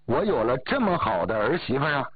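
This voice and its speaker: A man voicing a proud, grateful old village grandmother who brags about her daughter-in-law, his voice husky. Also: mid-range voice, big pitch swings, dry recording, voice pushed up. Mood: boastful. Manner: boastful, exclaiming, drawn-out sentence endings. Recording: tinny phone-like audio.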